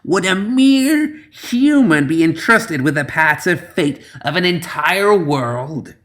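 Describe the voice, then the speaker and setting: A man voicing an ancient, bitter, scornful witch in a storybook, with a raspy, slow, spitting delivery. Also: on the high side, lively intonation, a bit bright, pitched above the performer's own voice. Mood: bitter, indignant.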